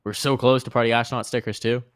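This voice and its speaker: A man casting an esports match, jokey and excitable, his voice jumping high on big plays. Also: fast talker, clean tone, no echo, clean studio sound. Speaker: esports caster. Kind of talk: play-by-play commentary.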